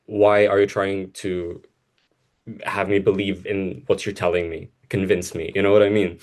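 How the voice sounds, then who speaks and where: A young man with a fairly low voice, chatting casually as a co-host. He is from Egypt and his first language is Arabic. He rambles through restarts and laughs a lot. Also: flat delivery, moderate pace, a little mellow. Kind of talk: casual conversation.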